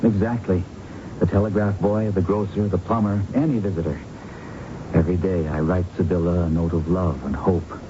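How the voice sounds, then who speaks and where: A man acts as a secretive, lovelorn figure in an old radio play. His voice is low and measured as he confides. The broadcast sounds muffled, with clear noise and rumble. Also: fairly even intonation, natural voice. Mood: earnest. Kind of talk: 1970s radio drama acting.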